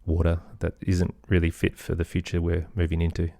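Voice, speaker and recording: Australian man, very low voice, gravelly fry, dry close recording, agreeable conversational interview guest. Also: completely flat delivery, a little fast, dark tone, no room sound. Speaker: podcast guest, musician and composer.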